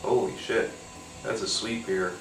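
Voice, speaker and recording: A man's voice: fairly low pitch, steady tone, a little slow, fairly bright tone, roomy sound, recorded from a distance, poor audio. A man with a congested, nasal voice from a cold, rambling casually with lots of fillers and casual swearing while he tastes a beer. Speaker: podcast host. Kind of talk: casual solo beer-tasting chat.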